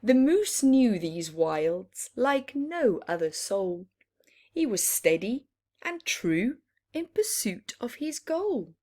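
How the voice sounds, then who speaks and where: A woman reading a picture book aloud to young children in an animated storytime style, with dramatic pauses and little explanations for her listeners. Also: a little high.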